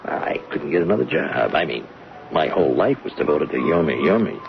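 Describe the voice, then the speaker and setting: A very deep, gravelly American voice plays a smug man in his forties, a fired adman turned thief. He is sly and persuasive, with lively intonation, in old radio drama style on a muffled recording. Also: fairly quick, exaggerated voice. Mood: wry. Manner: chuckling, sly, self-assured.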